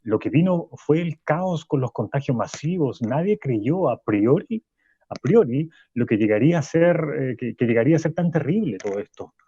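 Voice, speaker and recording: A man's voice: medium pitch, lively intonation, normal speed, warm tone, slightly rough, no echo, dull audio. A man playing an indignant, didactic philosophy professor in a radio play, insistent, repeating his phrases and interrupting. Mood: grave, reflective. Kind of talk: Spanish-language radio play as live debate.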